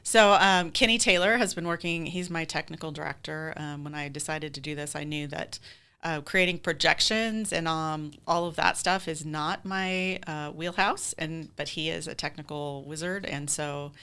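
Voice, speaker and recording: A woman with an American accent and a low, bright voice talks as an interview guest. Her delivery is clear, with some gravelly creak, and she explains things in an informal, chatty way. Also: moderate pace. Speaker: guest, theater director. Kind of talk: casual interview chat.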